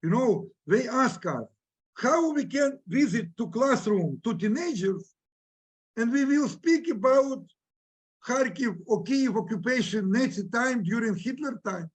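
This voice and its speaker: A man from Ukraine with a Ukrainian accent giving an academic talk in halting English, earnest and emphatic, repeating phrases and searching for words. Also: very high-pitched, expressive, slightly rough, poor audio. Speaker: scholar and Holocaust educator.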